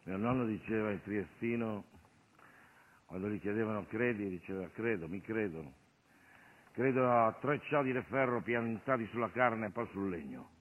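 A man's voice: on the low side, moderate pace. A man performing a theatrical stage monologue, moving between wry, offhand asides and solemn declamation. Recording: thin phone-like sound with loud music. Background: live guitar music.

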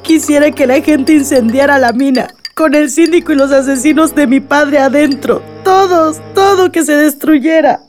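Actress as anguished, high-voiced grieving daughter. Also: female voice, normal speed, hardly any pauses, darkish tone, soft background music, close to an everyday voice. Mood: enraged. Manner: anguished, tearful, vengeful. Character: grieving, enraged daughter of a murdered man. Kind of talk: radio drama acting.

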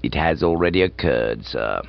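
A man voicing a deferential, unflappable English manservant in a comic audiobook, with formal, measured, understated speech. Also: very deep voice, animated, vocal fry, dry recording, muffled recording, voice pushed down, full character voice. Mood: grave, tactful.

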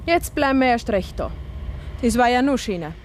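A woman's voice: fairly high pitch, lively intonation, normal speed, a little hiss or hum, natural voice. An actress playing a defiant, coaxing young village woman with a Bavarian accent in a radio drama, frank and unapologetic. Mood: bold, teasing. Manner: cajoling, unapologetic. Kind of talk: German dialect radio drama acting.

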